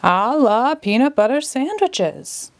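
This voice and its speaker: Woman acting out a theatrical, excitable male stage magician. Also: on the high side, voice pushed up, exaggerated voice. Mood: showy, hopeful. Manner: dramatic, drawn-out incantations. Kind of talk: children's storybook read-aloud.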